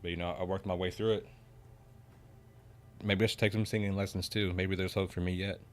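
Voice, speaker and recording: Young male streamer, low voice, relaxed rambling chat full of fillers. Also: brisk. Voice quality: deep voice. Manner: laid-back, rambling, lots of "man" and "you know". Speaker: game streamer. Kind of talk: casual livestream chat.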